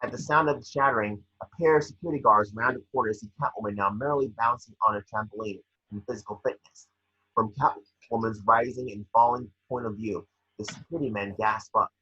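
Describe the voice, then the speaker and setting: Lo-fi dull audio, man, slightly low husky voice, scripted scene narration, table read. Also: steady tone, brisk, sharp tone. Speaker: scene description reader. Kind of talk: reading stage directions at an amateur table read.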